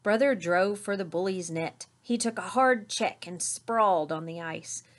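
A middle-aged woman reading a children's story aloud in an expressive narrating style. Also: mid-range voice, unhurried. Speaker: storybook reader. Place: small indoor room with curtain backdrop.

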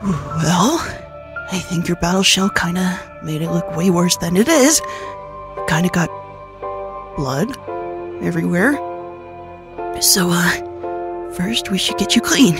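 A woman voicing a gentle, encouraging young mutant turtle boy in a fan comic dub, with a casual, reassuring manner. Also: on the low side, slow, pauses often, music in the background. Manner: soft-hearted, reassuring, casual.

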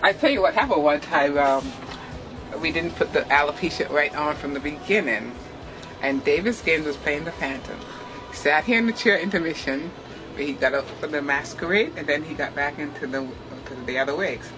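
A middle-aged woman from Barbados, a theatre makeup artist who explains her work step by step in a lively way and laughs often. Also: sits low, normal speed, over music, rough recording. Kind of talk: demonstrating work while talking to the camera. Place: small backstage dressing room, indoors.

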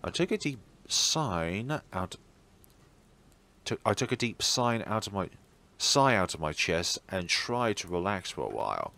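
Guy doing a lets play, reading visual novel narration out loud, lowish voice, lively intonation. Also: a little slow, creaky. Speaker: let's player reading a visual novel aloud. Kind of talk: narration read aloud for a game playthrough.

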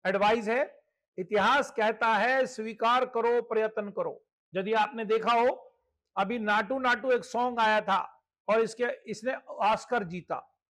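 An Indian man with a strikingly high voice presents a membership scheme. He explains it point by point, as in a promotional slide talk, and mixes English terms into his speech. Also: fairly bright tone, poor audio.

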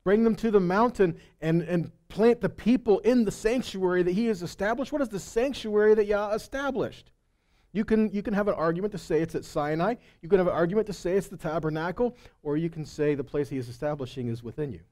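Male Bible teacher, middle-aged. Soft-edged, warm tone, conversational yet emphatic sermon delivery on a headset mic. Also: high-pitched, a little fast. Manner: conversational, checking in with listeners. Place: indoor stage in a meeting room or hall. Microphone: headset microphone.

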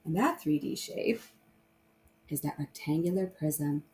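A woman's voice: sits low, animated, a little slow, warm tone. A woman teacher explaining things informally to young children, often checking in with short tag questions. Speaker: primary math teacher.

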